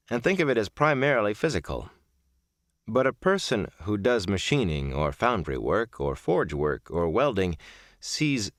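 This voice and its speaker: A man reading a first-person audiobook in a measured, reflective storytelling manner. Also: on the low side, dry recording, clean studio sound. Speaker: audiobook narrator.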